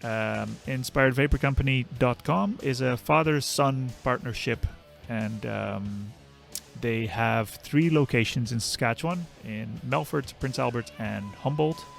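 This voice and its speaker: A man's voice: mid-range voice, measured pace, gravelly. A man reading an informal sponsor message as a podcast host. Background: faint guitar music.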